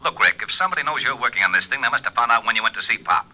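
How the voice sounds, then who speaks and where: An actor playing a brisk, concerned police lieutenant in a 1950s radio drama. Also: male voice, medium pitch, expressive, sharp tone. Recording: dull old radio transcription.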